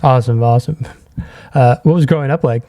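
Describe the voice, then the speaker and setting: A man with a mellow, relaxed tone and mid-range pitch. He works as a podcast host, chatting casually and easygoing as he moves from brief acknowledgements to questions. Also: fast talker. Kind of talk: casual interview chat.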